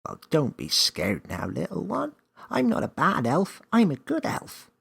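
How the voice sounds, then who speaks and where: Guy doing a tiny storybook elf, cheeky n bossy, pitched up, kinda raspy, super theatrical. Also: wildly swooping pitch, unhurried, a bit bright, heavily acted. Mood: reassuring. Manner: teasing, exclamatory, theatrical.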